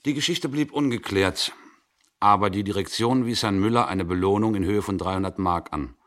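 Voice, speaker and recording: Deep-voiced man reading a suspense story. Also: moderate pace, fairly bright tone. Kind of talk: dramatic scripted story reading. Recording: 1960s radio production.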